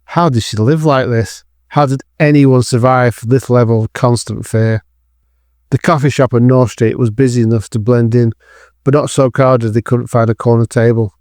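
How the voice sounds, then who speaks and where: A man's voice: mid-range voice, fairly even intonation, normal speed, a little mellow, no echo, clean studio sound. A man reading scripted narration for an audio drama as its narrator.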